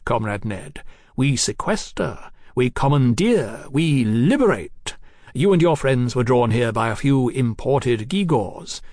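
A man's voice: mid-range voice, darkish tone, no echo, clean studio sound, close to an everyday voice. A man voicing a zealous young revolutionary for an audiobook, haughty and grandiose, declaiming like an orator. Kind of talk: audiobook character acting.

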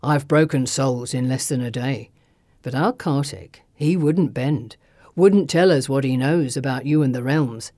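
A woman's voice: a bass voice, measured pace, mellow, no echo, studio quality, voice pushed down. A woman narrator voicing a menacing male villain who taunts and threatens with a drawling sneer, in an audiobook. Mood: cruel gloating. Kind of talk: audiobook character voice.

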